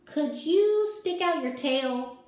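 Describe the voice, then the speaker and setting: Woman playing a blunt, picky child, high-pitched. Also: lively intonation, slow pace, a little mellow, reverberant. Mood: questioning. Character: picky, blunt child wanting a scary monster.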